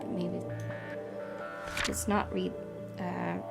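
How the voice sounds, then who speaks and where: A woman's voice: on the low side, little pitch movement, extremely slow, vocal fry. Young woman reading a game aloud. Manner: reads narration with chatty asides. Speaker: gaming streamer. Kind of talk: reading a visual novel aloud. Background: loud game music.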